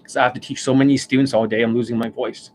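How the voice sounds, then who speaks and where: A man hosting a live stream as a dating coach. He rants bluntly and swears often, and his voice is worn and hoarse from overuse, with coughs and throat clearing. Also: medium pitch, steady tone, fast talker, darkish tone, a little hiss or hum. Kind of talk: livestream monologue. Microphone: desk microphone.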